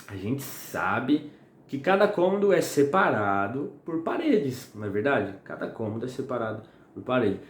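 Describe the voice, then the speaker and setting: Slightly hoarse young preacher. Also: male voice, medium pitch. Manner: chatty and friendly, asks "tá?" often. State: slightly hoarse and sweating in the heat. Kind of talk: casual home-recorded sermon. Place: home at night. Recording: echoey room, faint noise.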